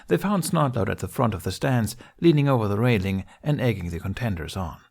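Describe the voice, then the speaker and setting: A man's voice: on the low side, lively intonation, a little fast, creaky, darkish tone. A man reading a scripted story aloud as its narrator. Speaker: amateur story reader.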